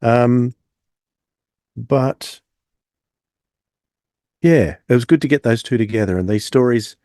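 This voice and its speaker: A man co-hosting a podcast in casual review chat, talking in a halting, discursive way. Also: mid-range voice, dark tone, dry recording, studio quality. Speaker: podcast co-host.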